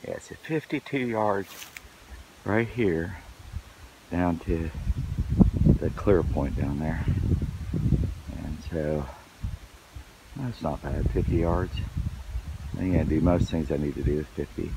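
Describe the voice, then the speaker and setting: A hoarse man rambling casually about his work on his wooded property, with lots of fillers. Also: a bass voice, slow, long pauses, very noisy. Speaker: vlogger. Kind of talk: casual outdoor vlog narration.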